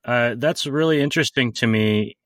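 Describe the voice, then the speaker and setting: A man's voice: medium pitch, moderate pace, a bit bright, no room sound, studio quality. A man hosting a podcast interview, asking long, rambling questions with restarts. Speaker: podcast host.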